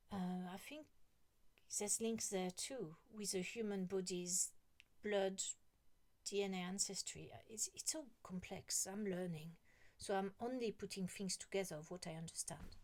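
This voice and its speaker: A middle-aged woman talking slowly and rambling to her viewers, often trailing off into a whisper. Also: mid-range voice. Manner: slow, rambling, musing, often drops to a whisper. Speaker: vlogger. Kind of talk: casual monologue to camera.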